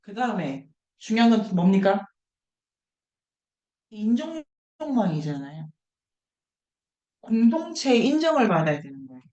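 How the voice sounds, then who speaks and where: A woman's voice: medium pitch, big pitch swings, measured pace, long pauses, darkish tone, roomy sound, highs cut off. A woman lecturing informally to a seminar group, thinking aloud, posing questions and repeating key words for emphasis. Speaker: lecturer leading an online book seminar.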